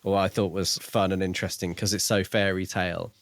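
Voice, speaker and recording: Lower-voiced man, musing in casual talk. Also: level tone, a little fast, no echo. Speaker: book podcast co-host. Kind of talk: casual book discussion.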